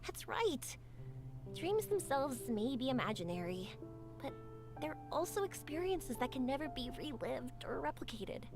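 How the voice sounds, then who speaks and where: A woman's voice: high-pitched, very animated, measured pace, heavily acted. A woman voicing a cheerful, spirited young fireworks maker in a video game, upbeat and affectionate. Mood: thoughtful. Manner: bright, upbeat, affectionate, giggly. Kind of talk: game voice acting. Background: game music.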